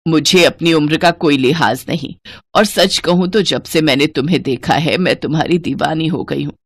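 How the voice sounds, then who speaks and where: A scheming middle-aged stepmother, played by a woman narrator in a moral story. She speaks low, coy and insinuating, with shameless desire. The sound is dry, with no room to it. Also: normal speed, breathy edge, dry recording. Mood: seductive. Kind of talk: Hindi moral-story cartoon voice acting.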